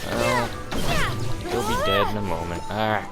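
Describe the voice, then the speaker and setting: Low-voiced man rambling over game music. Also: wildly swooping pitch, a little slow. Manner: rambling, muttering to himself. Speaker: gaming channel player. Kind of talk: casual playthrough commentary.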